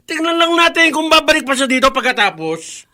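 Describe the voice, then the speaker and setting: A man's voice: extremely high pitch, sing-song, moderate pace, pushed and bright, full character voice. An actor playing a sneering, mocking man in radio drama style. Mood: smug mocking. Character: sneering, mocking male ally of a hostile aunt.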